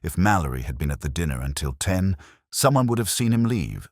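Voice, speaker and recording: A man narrating a scripted first-person detective story in a measured, solemn, literary manner. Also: deep voice, sing-song, no echo, clean studio sound.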